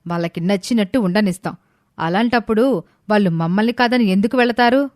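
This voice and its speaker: A woman playing a sharp-tongued, spiteful daughter-in-law who speaks with sarcasm and scorn. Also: mid-range voice, a little fast, no room sound, studio quality, lightly acted. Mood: scornful.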